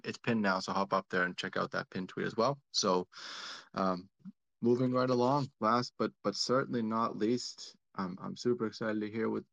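A man hosts a casual online audio chat in an upbeat, rambling way, full of fillers and restarts. His voice sits low and has a gravelly edge. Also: fairly bright tone, lo-fi sound. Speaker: host.

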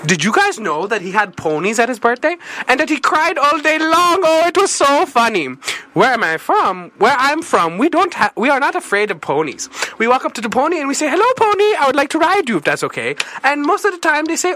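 A woman's voice: high voice, sing-song, a little fast, pushed and bright, full character voice. A woman playing a naive, effusive foreign cousin in a comedy show, with a put-on foreign accent that slips, curious and easily astonished. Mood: excited. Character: naive, excitable foreign cousin visiting America. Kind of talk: improvised comedy character interviewing a panel.

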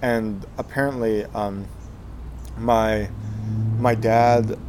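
Man, 20s, from Texas, slightly low voice, hesitant and rambling, faint noise behind. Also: steady tone, very slow, a little mellow. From Texas, USA. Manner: hesitant, many fillers and restarts. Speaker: guest, Zen monk. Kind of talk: casual life-story interview.

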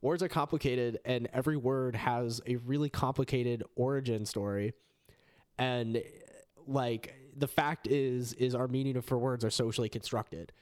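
Young guy hosting a podcast, talks fast and loose, swears a lot, says like constantly. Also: medium pitch. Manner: animated, swears, many fillers. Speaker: podcast host. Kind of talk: casual chat.

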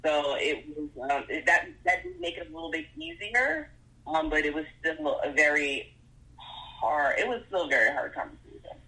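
A man being interviewed as a parent, answering earnestly with halting restarts. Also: fairly high pitch, very animated, sharp tone, poor audio. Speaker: guest parent.

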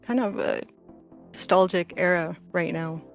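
A woman's voice: on the low side, measured pace, creaky. A woman chatting casually as a panelist on a podcast, in loose conversational phrases. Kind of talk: casual chat. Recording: faint music underneath. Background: soft background music.

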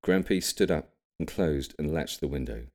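Man, extremely low pitch, audiobook narrator, scripted story narration. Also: animated, moderate pace, a little mellow.

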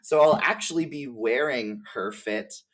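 Young adult male, medium-pitched, casual gamer podcast guest, effusive, slang-heavy delivery. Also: measured pace, fairly bright tone. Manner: effusive, slangy, uses "like" heavily. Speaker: podcast guest, game streamer. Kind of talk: casual gaming chat.

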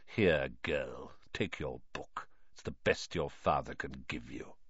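A man's voice: very deep voice, slow, fairly bright tone, breathy edge, dry recording, voice pushed down, full character voice. A man reading an audiobook, voicing a cold, sneering aristocratic wizard father with a silky, contemptuous tone. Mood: menacing. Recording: dull lo-fi dry recording.

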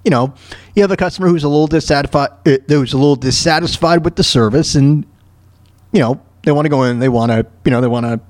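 A man hosting a talk show with casual off-the-cuff news commentary, halting and repeating himself in an exasperated way. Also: a little high, darkish tone, no room sound. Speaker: podcast host commenting on news clips.

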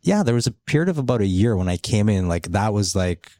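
Casting: middle-aged Polish-born man, deep voice, dry close sound, easygoing podcast chat. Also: fast, no echo. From Poland. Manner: relaxed, rambling, with casual swearing. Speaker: company co-founder.

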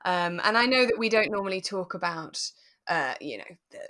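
A woman co-hosting a podcast, explaining things step by step in a relaxed, conversational way. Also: mid-range voice, a little fast. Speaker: podcast co-host.

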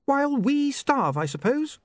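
A man voicing a prim, sharp young lady, indignant and suspicious, in an audiobook story. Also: very high-pitched, very animated, measured pace, dry recording, voice pushed up, full character voice.

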